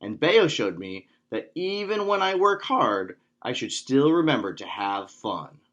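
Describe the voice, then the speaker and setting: A man's voice: mid-range voice, big pitch swings, a little slow, fairly bright tone, dull audio. A man voicing a serious, determined young girl student in a children's storybook, in an earnest manner. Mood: pleased. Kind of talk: children's storybook read-aloud.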